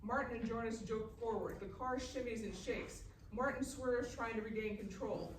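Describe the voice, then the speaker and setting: A woman's voice: fairly high pitch, moderate pace. Woman narrator in an echoey room. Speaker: stage-direction narrator. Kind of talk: scripted narration at live table read. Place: live reading in a festival room. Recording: echoey room, distant mic, faint noise.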